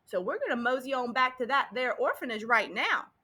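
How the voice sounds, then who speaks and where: Woman putting on a folksy, high-pitched, boastful cowboy sheriff. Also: sing-song, brisk, sharp tone, full character voice. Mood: exasperated, fond. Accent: American. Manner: drawling Old West dialect, exaggerated storytelling. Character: folksy, boastful, dim-witted cowboy sheriff telling his tale.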